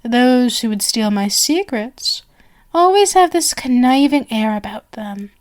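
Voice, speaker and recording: Woman acting out a creepy sweet lady magician for asmr, really slow n flowery, coaxing, kinda high voice. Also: animated. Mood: sly, guarded. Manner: theatrical, flowery, coaxing, drifting into whispers. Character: sinister female stage magician, genial, flowery, possessive.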